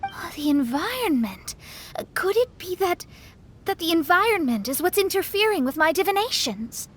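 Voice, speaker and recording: Extremely high-voiced anxious young astrologer. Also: female voice, sing-song, normal speed, a bit bright, a little hiss or hum, full character voice. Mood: flustered. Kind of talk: English game dub acting.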